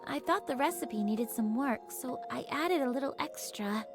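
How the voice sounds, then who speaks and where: Gentle composed young woman leader, highish voice, earnest game drama acting, music behind. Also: animated, normal speed. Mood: tender, wistful. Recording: game dialogue over clear background music.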